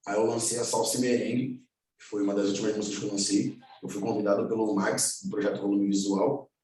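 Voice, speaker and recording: A man in his twenties from Angola with a carioca accent, telling his story as a musician in a relaxed, slangy way full of fillers. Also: lowish voice, fast. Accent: Rio de Janeiro (carioca). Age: 20s. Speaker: musician guest. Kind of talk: casual personal storytelling. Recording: very echoey room, far from the mic.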